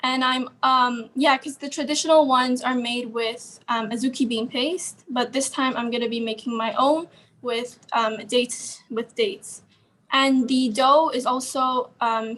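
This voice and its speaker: A young woman from China, a contestant, explaining her dish over a video call in a hesitant way with many pauses. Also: fairly high pitch, steady tone, a bit bright, a little hiss or hum.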